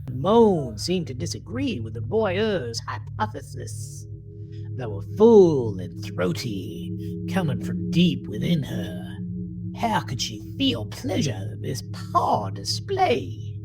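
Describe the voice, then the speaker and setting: A woman with a very deep voice reads dramatic horror narration at an unhurried pace. Her delivery is theatrical and swoops between hushed lows and higher peaks, with music clearly behind her. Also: wildly swooping pitch, poor audio. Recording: music bed under the narration.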